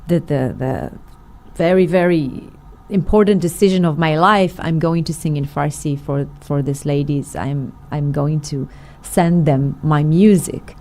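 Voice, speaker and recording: A woman's voice: low voice, unhurried, a little mellow. A middle-aged woman, a singer, telling her story in an interview with many fillers and restarts. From Israel. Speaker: guest, singer. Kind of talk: interview conversation.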